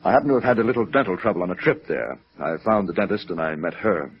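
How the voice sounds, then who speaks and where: An actor playing a genteel, evasive company president in a 1950s radio drama, formal and hesitant, on a vintage recording. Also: male voice, sits low, expressive, quick pace, breathy edge, highs cut off, full character voice. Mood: polite, guarded. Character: genteel, evasive, wistful male brokerage president.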